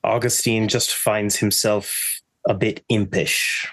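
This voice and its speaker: A man in a tabletop roleplaying game, speaking in a measured, dryly formal way. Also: lowish voice, little pitch movement, a bit bright, poor audio. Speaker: player.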